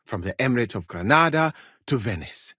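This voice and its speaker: An actor playing a learned, well-travelled West African physician in a video game, speaking formally and precisely with dry wit and a husky voice. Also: male voice, mid-range voice, animated, sharp tone, dry recording. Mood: measured, learned. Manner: formal, measured, precise diction with dry irony. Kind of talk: video game character dialogue.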